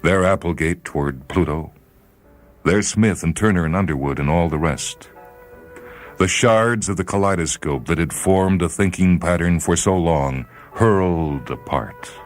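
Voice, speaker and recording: Very deep-voiced solemn male story reader. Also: unhurried, soft background music. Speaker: story reader and programme host. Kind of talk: dramatic scripted narration of science fiction.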